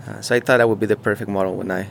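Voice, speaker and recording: Halting low-voiced man. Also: steady tone, creaky, slight background noise. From Mexico. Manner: halting, searching for words, many fillers and restarts. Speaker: muralist interviewee. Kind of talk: casual on-site interview. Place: outdoors beside a mural.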